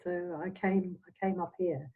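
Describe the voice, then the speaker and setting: An older woman from Scotland, a semi-retired minister, reminiscing in a halting, meandering, reflective way as an interview guest. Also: on the low side, fairly even intonation, slightly rough. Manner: halting, meandering delivery with trailing phrases. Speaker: interviewed Spiritualist minister and medium. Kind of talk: reflective, anecdotal chat. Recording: dull, phone-like audio.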